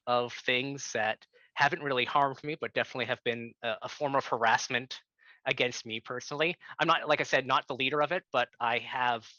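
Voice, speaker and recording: A young man from Canada talks through a video call in an earnest, halting way, often restarting his sentences. His voice is bright and forward, recorded close and dry with slightly dull, lo-fi sound. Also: medium pitch, no room sound. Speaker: tenant organizer. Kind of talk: online workshop talk. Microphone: computer mic on a video call.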